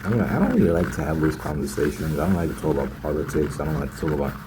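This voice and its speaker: A man's voice: animated, racing. A man doing a casual ASMR roleplay monologue, telling his story in a hesitant, repetitive, slangy way as a smitten, naive boyfriend. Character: smitten, naive young man gushing about his new girlfriend. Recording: lo-fi ASMR with loud rumbling noise.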